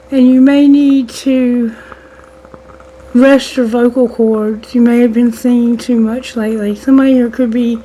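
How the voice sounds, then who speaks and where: A woman reading tarot for her online audience, her voice strained and fading. She talks slowly in a fairly high, mellow tone that hardly rises or falls. She addresses her listeners as a collective and leans on spiritual language. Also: a little hiss or hum, poor audio. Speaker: tarot reader.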